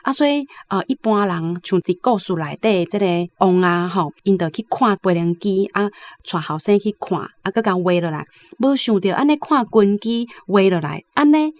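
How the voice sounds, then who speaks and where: A woman with a Taiwanese accent discusses a story in a conversational way. Her voice is of average pitch, explaining point by point. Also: lively intonation, moderate pace, a little mellow. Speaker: guest commentator.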